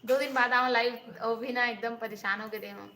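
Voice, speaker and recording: A woman's voice: on the high side, fairly even intonation, fairly quick, bright, forceful tone. A young woman with a Chhattisgarhi accent, chatting casually with viewers on a live stream and asking them to subscribe. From Raipur district, Chhattisgarh. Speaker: vlogger hosting a live stream. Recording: echoey room, faint hiss.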